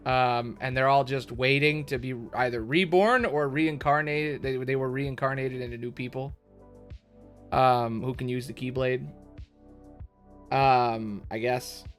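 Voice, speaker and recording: A man giving casual, slangy live commentary as a streamer, thinking out loud. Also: medium pitch. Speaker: streamer reacting to a game story. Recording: music playing behind the voice.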